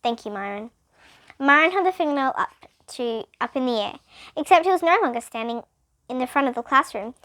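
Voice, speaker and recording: A woman's voice: high-pitched, expressive, brisk, a bit bright. A female reader narrating a children's storybook aloud, with occasional explanatory asides.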